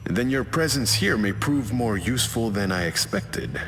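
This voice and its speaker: A man's voice: mid-range voice, lively intonation, moderate pace, a bit bright, slight background noise. A man voicing a haughty, regal warrior king in a video game, speaking formally and proudly. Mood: cool, calculating. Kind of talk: video game character acting.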